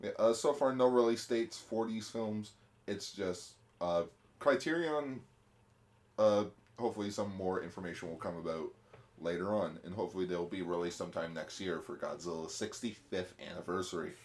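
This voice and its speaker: Man, lowish voice, podcast co-host, casual rambling chat. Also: moderate pace, darkish tone. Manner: chatty, rambling, uses fillers. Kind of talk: casual chat about films.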